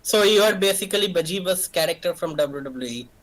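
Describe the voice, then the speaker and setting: Animated man in casual stream chat. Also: high voice, a little fast, poor audio.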